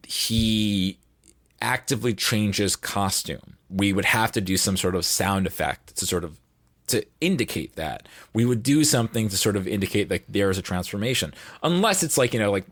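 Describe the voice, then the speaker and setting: A man with a fairly low voice chats casually on a podcast. He rambles and thinks aloud as he goes. The sound is dry and close, with little room in it. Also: dry recording. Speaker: audio drama producer, guest.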